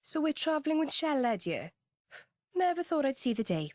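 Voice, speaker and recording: A woman's voice: high-pitched, sing-song, normal speed, a little mellow, no echo, studio quality. A woman playing a blunt, sceptical companion in a video game, with a wry way of talking. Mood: wry, doubtful. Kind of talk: video game cutscene acting.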